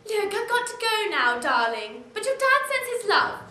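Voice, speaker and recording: A woman's voice: very high voice, very animated, bright, forceful tone, echoey room, exaggerated voice. A woman playing a fretful, fussing mum. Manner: fussing, apologetic, hurried. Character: fretful mother leaving a phone message.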